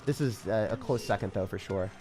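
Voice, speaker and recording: A young man from the Boston area streaming a game live, chatting with viewers, excitable and laughing often. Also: lowish voice, a little fast, soft background music. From Boston area, United States. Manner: excitable, laughs often, reads chat questions aloud. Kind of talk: live-stream gameplay commentary.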